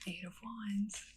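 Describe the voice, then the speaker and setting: A woman doing a whispered ASMR role play as a kindly witch, speaking gently and unhurriedly straight to the listener. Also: medium pitch, noisy background. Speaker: ASMR creator playing a witch.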